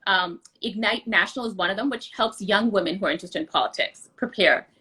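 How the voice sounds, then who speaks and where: Fast-talking woman with a bright, husky voice. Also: mid-range voice, rough recording. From born outside the US. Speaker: interview guest, political candidate. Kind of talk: conversational interview answers.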